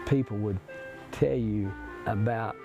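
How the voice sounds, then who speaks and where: A man, a craftsman talking haltingly and folksily about his work. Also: fairly low pitch, creaky, over music. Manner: halting, folksy, repeats words. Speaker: craftsman interviewee.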